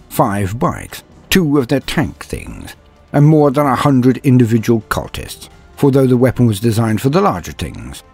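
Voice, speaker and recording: Low raspy guy doing big theatrical story narration, really animated pitch, music underneath. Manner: theatrical, grandiose storyteller delivery. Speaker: narrator and host of a fiction lore show. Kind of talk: dramatic scripted narration.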